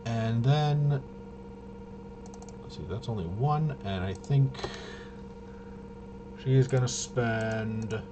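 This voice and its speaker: Slow-talking man muttering through a game. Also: medium pitch, background noise. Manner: hesitant, muttering to himself while working things out. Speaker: solo tabletop RPG player and presenter. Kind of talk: casual gameplay walkthrough, thinking aloud.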